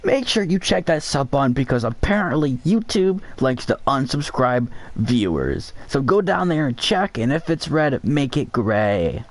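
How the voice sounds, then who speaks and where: Lively, silly male podcast co-host. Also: mid-range voice, unhurried, few pauses, dry recording. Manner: silly, riffing, deadpan jokes. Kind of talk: joking casual chat.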